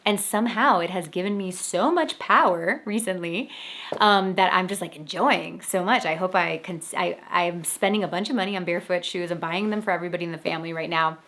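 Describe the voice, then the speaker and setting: Chatty woman, slightly low voice. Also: fairly quick, fairly bright tone. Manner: chatty, with many fillers like "like". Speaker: podcast host. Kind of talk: casual spiritual podcast monologue.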